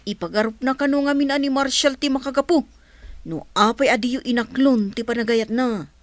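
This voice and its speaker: A woman's voice: high voice, fairly quick, no room sound, lightly acted. A woman acting a loyal, tattling young household helper in an Ilocano radio drama, eager and confiding. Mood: confiding, concerned.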